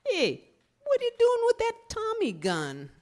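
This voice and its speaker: A woman playing a jumpy, uneasy male small-time crook, excitable and streetwise, in 1930s radio style. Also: extremely high pitch, unhurried, heavily acted. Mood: startled, uneasy.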